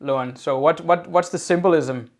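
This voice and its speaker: Higher-pitched male podcast host on a video call, restarts his phrases, then runs on fluently. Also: normal speed. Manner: often repeats his opening words, then talks on fluently. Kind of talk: casual video-call interview.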